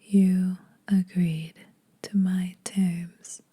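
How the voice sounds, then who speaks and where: A woman playing a menacing, teasing vampire huntress in a soft, breathy ASMR whisper, slow, deliberate and condescending. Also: fairly low pitch, flat delivery, heavily acted. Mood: smug, possessive.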